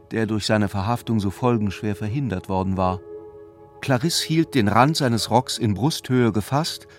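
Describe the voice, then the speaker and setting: A man narrates a literary radio drama in a medium-pitched voice. Soft music runs underneath his reading.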